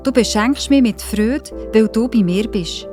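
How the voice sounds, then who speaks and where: A woman's voice: fairly high pitch, unhurried, music in the background. A woman with a Swiss German accent narrating a scripted children's audio story.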